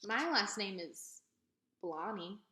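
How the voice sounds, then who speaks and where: A woman's voice: mid-range voice, lively intonation, slow pace, sharp tone, echoey room. A woman voicing a young preacher's daughter, a spunky but polite girl who speaks earnestly. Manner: earnest, hollers when upset.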